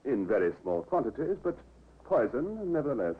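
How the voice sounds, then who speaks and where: Fretful, stiff husband in his fifties, swooping pitch. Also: sing-song, normal speed, husky. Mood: matter-of-fact. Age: 50s. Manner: formal, hesitant, peevish. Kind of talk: 1950s radio drama acting. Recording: tinny, hissy old radio recording.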